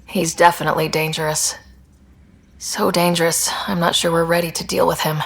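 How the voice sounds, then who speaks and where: An actress playing a steady young space expedition leader in a video game, talking in a measured, earnest way. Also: female voice, exaggerated voice. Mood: serious. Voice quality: low, slightly gravelly.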